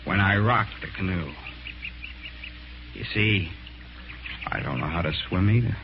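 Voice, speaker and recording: An actor playing a cool, cunning man avenging his sister, deliberate and commanding, in old-time radio mystery style. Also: low voice. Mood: grimly satisfied. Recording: noisy, dull vintage radio recording.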